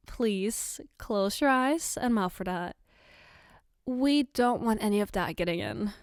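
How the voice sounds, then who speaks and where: A woman playing a caring, playful girlfriend in an ASMR roleplay, gently reassuring and patiently explaining as she gives soft personal attention. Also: medium pitch, animated, takes it slowly, clean tone, no echo, studio quality. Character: caring, playful girlfriend doing her partner's makeup.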